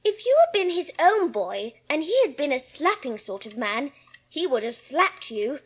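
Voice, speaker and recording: A woman's voice: high voice, very animated, normal speed. A woman playing a blunt, forthright young girl in a dramatised audiobook, with a frank, matter-of-fact manner. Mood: frank, scolding.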